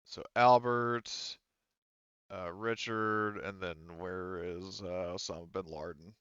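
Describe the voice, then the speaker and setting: A man working as game master, narrating a tabletop game in a casual, improvised, halting way. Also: deep voice, steady tone, fairly bright tone, smooth, no echo, muffled recording. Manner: halting, conversational.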